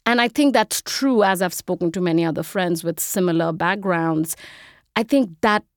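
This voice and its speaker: A woman in her forties from India with an Indian accent, a guest in an interview talking in quick, animated bursts. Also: on the low side, dry recording, studio quality. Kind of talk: casual interview conversation.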